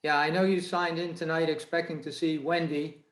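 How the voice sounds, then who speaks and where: Guy hosting a zoom talk, higher-ish voice, echoey room, kinda halting and measured. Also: level tone, fairly bright tone. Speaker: host of an online club meeting, presenter. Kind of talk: informal spoken presentation from notes. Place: indoors on a video call. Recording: roomy, echoey video-call audio.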